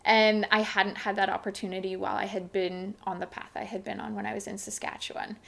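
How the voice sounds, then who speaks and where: A young Canadian woman with a Canadian accent, chatting as a podcast guest, bubbly and quick to laugh. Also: mid-range voice, little pitch movement, gravelly, a bit bright. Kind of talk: casual interview chat.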